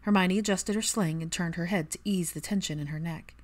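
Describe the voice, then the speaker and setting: A woman's voice: lowish voice, a little fast. A woman reading scripted fiction aloud as an audiobook narrator.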